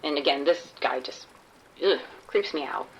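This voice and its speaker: A woman's voice: low voice, moderate pace, a little hiss or hum, rough recording. A woman playing a wry undercover policewoman in a radio drama, talking casually and matter-of-factly. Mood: uneasy, businesslike. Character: wry undercover policewoman posing as a street sex worker.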